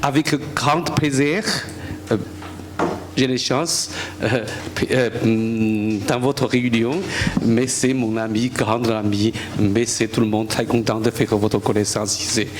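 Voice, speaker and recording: A man from China with a Chinese accent, a guest surgeon speaking halting, simple French. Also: mid-range voice, fairly even intonation. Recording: noisy room.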